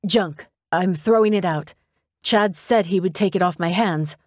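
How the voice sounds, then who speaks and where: A woman's voice: deep voice, steady tone, normal speed, darkish tone, no echo, studio quality, close to an everyday voice. Woman playing a prim, disapproving rich widow. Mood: curt, uneasy.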